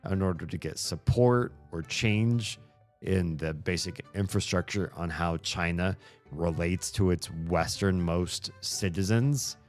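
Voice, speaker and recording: A man streaming and answering chat in a casual, hesitant way, thinking aloud with many pauses and fillers. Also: low voice, soft background music.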